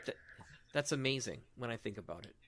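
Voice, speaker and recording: A man chatting casually as a podcast host, telling stories in an animated way. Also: mid-range voice, a little fast. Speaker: audio drama show host and podcast panelist.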